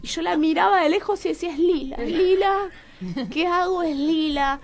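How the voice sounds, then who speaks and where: An Argentine woman with a Rioplatense accent, a talkative and expressive storyteller in conversation who acts out remembered dialogue. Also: very high-pitched, normal speed. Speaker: playwright, radio show guest. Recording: music behind the voice. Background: music.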